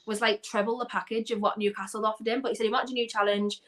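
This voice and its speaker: A young woman from North East England chatting casually about football as a guest, animated and quick to laugh. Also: on the high side. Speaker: guest fan.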